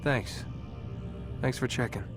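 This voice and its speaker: An actor playing a defiant, exasperated young prisoner in a video game, talking in a casual, sarcastic way. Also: male voice, medium pitch, takes it slowly, music in the background, natural voice. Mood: subdued. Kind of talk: naturalistic video game acting.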